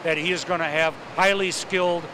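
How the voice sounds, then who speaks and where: A man with a fairly high voice speaks as mayor in a casual interview, stumbling through uhs and restarts. Clear outdoor noise runs under him. Traffic hums in the background. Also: steady tone. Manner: halting, many uhs and restarts. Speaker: city mayor.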